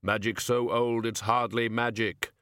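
A man voicing a solemn skeletal reaper in an audiobook, speaking slowly and weightily in a matter-of-fact way. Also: mid-range voice, flat delivery, a bit bright, no room sound, studio quality, natural voice. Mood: grave, satisfied. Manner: slow, weighty, measured delivery.

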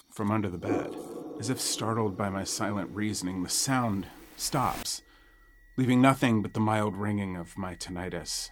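A man narrating a first-person horror audio drama in a wry, suspenseful way with dramatic pauses. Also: fairly low pitch. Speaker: storyteller narrating a nightmare.

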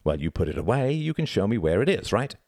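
A man voicing a stern, grimly controlled adult man who talks in a firm, measured, authoritative way in a story. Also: on the low side, sing-song, darkish tone, no room sound, clean studio sound, voice pushed up. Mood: controlled, insistent.

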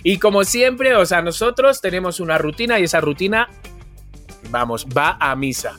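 A podcast co-host speaks in a medium-pitched voice. The talk is casual and wry, full of joking asides. Faint music sits under the voice. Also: lively intonation, normal speed, a bit bright. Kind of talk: casual chat. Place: bedroom, remote recording.

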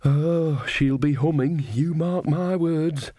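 A man reading an audiobook, voicing an earthy, gossipy old female witch in a slow drawl. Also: fairly high pitch, dark tone, no echo, pitched above the performer's own voice. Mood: drawling gossip. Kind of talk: audiobook character voice.

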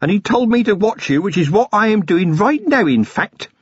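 A man voicing an eager young male constable who talks bluntly in rough working-class speech, raised high. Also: sing-song, moderate pace, no echo, dull audio, heavily acted. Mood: zealous.

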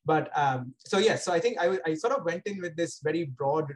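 A young man with an Indian accent talks casually as an interview guest, in a husky, slightly breathy voice that sits a bit high for a man. He rambles, circling back with fillers and restarts as he thinks aloud. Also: animated, moderate pace. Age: young adult. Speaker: guest astronomer.

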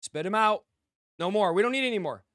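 A male American preacher with a high, clear voice delivers a fiery exhortation. He drives it forward in short, emphatic commands, often repeating phrases for force. The sound is dry, with very little room around the voice. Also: animated, a little fast, a bit bright, no room sound.

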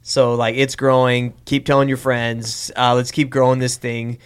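A man's voice: mid-range voice, monotone, normal speed, clear, resonant tone. A man hosting a casual comedic podcast, rambling and repeating himself as he goes. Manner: rambling, repeats phrases, prone to laughing. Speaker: podcast host.

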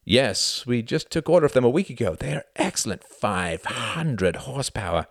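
A man acts out a young German pilot in a storybook reading. He is boisterous, boastful and mocking, with a swaggering, emphatic delivery. The voice is pushed high and leaps about in pitch. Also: sing-song, normal speed, vocal fry, dry recording, studio quality. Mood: cocky.

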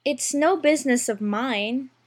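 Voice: high-pitched, a little slow, voice pushed up. A storybook narrator voicing a polite but plucky and decided little girl. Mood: bold, decided.

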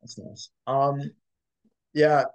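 A man's voice: medium pitch, extremely slow, poor audio. A young man from Michigan co-hosting a casual student chat, rambling a little with plenty of "like" and "um" and laughing easily. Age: young adult. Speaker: co-host.

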